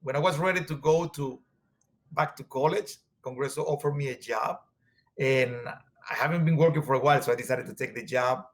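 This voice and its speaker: A man from Venezuela whose first language is Spanish, speaking in a Venezuelan accent in an earnest, halting way with many fillers as an interview guest. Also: mid-range voice. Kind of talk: conversational interview.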